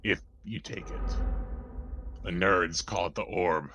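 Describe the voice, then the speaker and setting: An actor plays a weary man minding a secret device in an audio drama. His voice is low and his delivery casual and dismissive, as if nothing matters much. Clear noise runs behind him. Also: slow pace, muffled recording. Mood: bored, dismissive. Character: weary, offhand male caretaker of a secret device.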